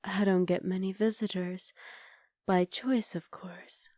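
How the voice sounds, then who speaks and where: A woman voicing a scheming, possessive witch in an ASMR roleplay, speaking softly and often half-whispering close to the mic. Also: mid-range voice, fairly even intonation, slow, dry recording, lightly acted. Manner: soft, intimate, coolly calculating, often half-whispered. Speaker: voice actor.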